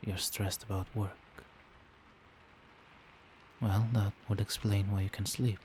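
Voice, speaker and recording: A man playing a doting, protective vampire boyfriend in a soft-spoken, soothing and attentive ASMR roleplay. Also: fairly low pitch, little pitch movement, normal speed, slight background noise, lightly acted. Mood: tender, concerned. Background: rain.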